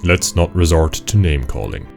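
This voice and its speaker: Man playing a very deep-voiced, stern formal imp. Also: lively intonation, moderate pace, gravelly, darkish tone, soft background music. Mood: stern, pedantic. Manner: formal, pedantic, commanding. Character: stern, formal male imp, authoritative taskmaster of a conclave. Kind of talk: radio drama dialogue.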